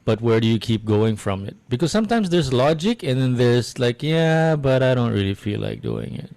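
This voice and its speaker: A man's voice: medium pitch, very animated, normal speed, darkish tone, dry recording. A man co-hosting a casual podcast, asking curious, probing follow-up questions. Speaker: podcast co-host.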